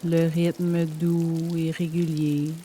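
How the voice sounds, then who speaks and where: A woman guiding a yoga nidra meditation in a gentle, soothing, measured way, leaving pauses between phrases. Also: low voice, slight background noise. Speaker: meditation guide. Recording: steady rain sound over the voice. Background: rain sound.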